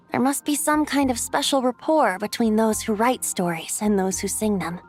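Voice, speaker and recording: A woman's voice: on the high side, unhurried, close to an everyday voice. A woman voicing a youthful, breezy male wind god and bard in a video game, with a light boyish voice and a lilting, theatrical manner. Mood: pleased, playful. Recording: music under the voice.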